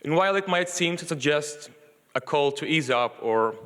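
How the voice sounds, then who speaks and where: Young man, loud and earnest, formal commencement speech, bright tense tone, long auditorium reverb. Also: fairly high pitch, lively intonation, moderate pace, clean tone. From Libya. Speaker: graduate speaker. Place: large auditorium.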